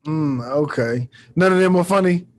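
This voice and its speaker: A man's voice: on the high side, normal speed. A man hosting a podcast in a casual, laid-back chat, asking questions in loose, familiar phrasing. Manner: laid-back, often tags sentences with "man". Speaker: podcast host.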